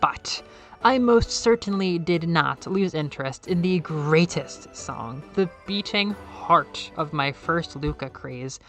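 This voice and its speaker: A young woman YouTuber talking casually in a soft, airy, slightly nasal voice, excitable and rambling, her pitch leaping up when she quotes people. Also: slow, soft background music. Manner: enthusiastic, excitable, jumps up in pitch when quoting; rambling with fillers and repeated words. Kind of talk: casual video essay.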